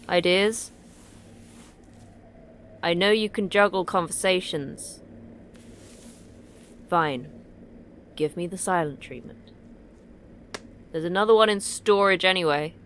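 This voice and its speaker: A woman's voice: fairly low pitch, a little hiss or hum. A woman playing a terse, irritable, sarcastic station manager in a sci-fi horror audio drama. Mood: exasperated. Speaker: station manager of a secret facility.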